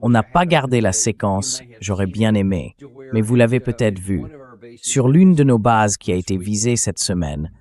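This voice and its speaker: A man's voice: lowish voice, expressive, moderate pace, a little mellow. A man hosting a political commentary show in a French translated voice-over, speaking critically with incredulous rhetorical turns.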